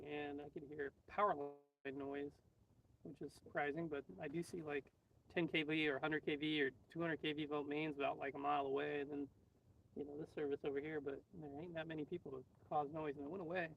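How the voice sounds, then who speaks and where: A man's voice: fairly high pitch, vocal fry. Casual American guy, streaming sidekick, conversational, muffled lo-fi pickup with faint background noise. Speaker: co-host and ham radio operator. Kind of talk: casual live stream chat. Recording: dull lo-fi distant mic, faint noise.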